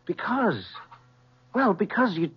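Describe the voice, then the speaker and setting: Earnest young son, husky radio-drama voice. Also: male voice, sits high, sing-song, slow pace, full character voice. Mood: pleading, concerned. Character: earnest, confrontational grown son protective of his mother. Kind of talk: 1970s radio drama acting. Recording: muffled vintage radio recording.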